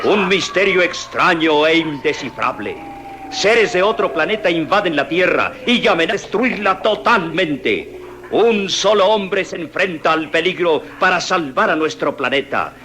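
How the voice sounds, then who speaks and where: Grandiose high-voiced radio serial announcer. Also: male voice, animated, background noise. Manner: grandiose, emphatic, drawn-out key words. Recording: vintage radio broadcast with music. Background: dramatic music.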